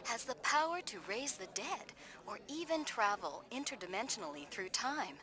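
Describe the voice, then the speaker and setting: A woman's voice: a little high, animated, moderate pace, sharp tone. A woman playing a gushing, theatrical host of an occult television show in a video game. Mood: dramatic. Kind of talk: video game voice acting, TV presenting.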